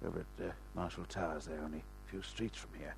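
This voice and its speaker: A man's voice: low-pitched, steady tone, creaky, a little hiss or hum. An actor playing a wounded, desperate man on the run, stammering weakly in an old radio crime drama. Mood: weary, halting. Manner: halting, stammering, pleading. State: weak, in pain.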